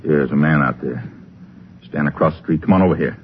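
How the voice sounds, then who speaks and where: Escaped convict, American, radio crime drama: gruff, domineering, quick-fire delivery, muffled old broadcast sound. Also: male voice, very deep voice, background noise. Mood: tense, bossy. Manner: gruff, barking, impatient slangy orders.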